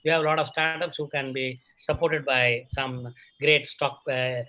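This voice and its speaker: A man's voice: mid-range voice, little pitch movement, a little slow, muffled recording. A man from India, a doctor, making rambling, run-on comments in an online webinar. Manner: rambling, loose run-on remarks. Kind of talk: online panel discussion. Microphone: computer mic on video call.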